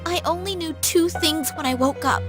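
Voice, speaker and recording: An actress playing a desperate, devoted younger sister in an English anime-style video game, with an emotional, pleading manner. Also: female voice, extremely high pitch, moderate pace, over music. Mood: earnest, confessing.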